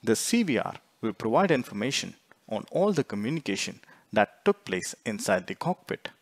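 A man reading scripted instructional narration in a measured, explanatory way, phrase by phrase. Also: mid-range voice, no room sound. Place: close, dry voice-over recording.

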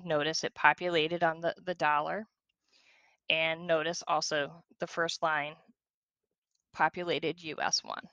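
A woman leads a screen-share software tutorial in a conversational, step-by-step way. Her voice sits a little low for a woman, with a bright, forceful edge and a creaky fry. The sound is close and dry. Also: no echo. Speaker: software demonstrator.

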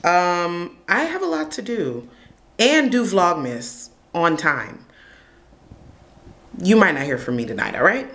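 A woman's voice: fairly low pitch, sing-song, slow, pauses often, fairly bright tone. A young woman talks casually to her camera, rambling through stories with lots of "like." She records herself at arm's length, first in a parked car and then at home in her kitchen. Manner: chatty, rambling storytelling with frequent "like". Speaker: vlogger. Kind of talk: casual vlog chat to camera. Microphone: camera held at arm's length.

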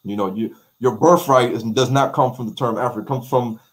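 A man's voice: medium pitch, brisk. Man, middle-aged, interview guest, video call, emphatic, rhetorical questions. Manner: emphatic, repeats words, asks rhetorical questions. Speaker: documentary maker, guest. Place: bright room with light walls.

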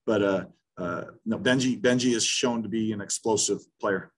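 A man's voice: on the low side, moderate pace. A man in his fifties, a head football coach at a post-game press conference, talking bluntly with many restarts over a video call. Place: small room in front of a sponsor backdrop.

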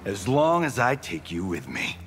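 An actor playing a cocky, flippant wisecracking fighter in a video game. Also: male voice, on the low side, wildly swooping pitch, normal speed, fairly bright tone, full character voice. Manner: flippant, joking. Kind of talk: fighting game character dialogue. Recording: long reverb, faint game music.

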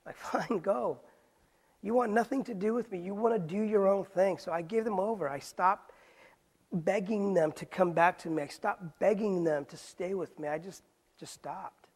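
A middle-aged male pastor preaching a sermon on a church stage, earnest and pleading, dropping soft for quoted lines and rising for emphasis. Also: high voice, moderate pace, husky.

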